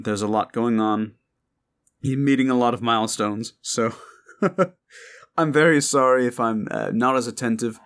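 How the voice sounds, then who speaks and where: A man, a voice actor and channel host, chatting casually and earnestly to his listeners in an unscripted ramble. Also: medium pitch.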